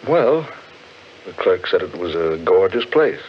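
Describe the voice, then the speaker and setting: An actor playing an earnest, brooding gentleman in a theatrical 1940s radio horror drama, insistent and given to hesitant pauses. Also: deep voice, big pitch swings. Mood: earnest, ominous. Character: earnest, brooding gentleman fascinated by eerie legends. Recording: muffled, noisy 1940s radio recording.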